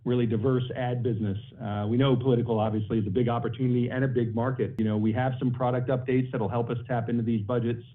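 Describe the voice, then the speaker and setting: A man's voice: mid-range voice, little pitch movement, fast, few pauses. A male company executive giving conversational answers on an earnings call. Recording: narrow phone-like conference line.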